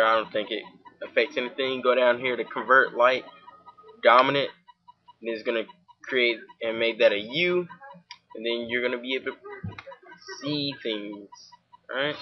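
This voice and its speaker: A man's voice: medium pitch, normal speed, long pauses. Southern-accented young man, casual home tutorial host, dull lo-fi desk mic, faint music behind. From Georgia, US. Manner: informal, many fillers, talks while clicking. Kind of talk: casual screen-recorded software tutorial. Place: home room at a computer.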